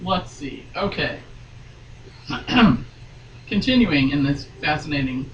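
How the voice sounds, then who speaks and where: A woman's voice: low voice, very animated, slow pace, muffled recording. A woman doing a dramatic reading with playful, joking asides, recorded in a room at some distance from the mic. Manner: theatrical, joking. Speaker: guest reader. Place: sewing room, indoors. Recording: echoey room, distant mic, clear noise.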